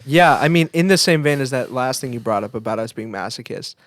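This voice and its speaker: A man's voice: medium pitch, expressive, a little fast, fairly bright tone, dry recording. A man co-hosting a podcast in casual chat, informal and searching for his words, speaking into a close microphone. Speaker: podcast co-host.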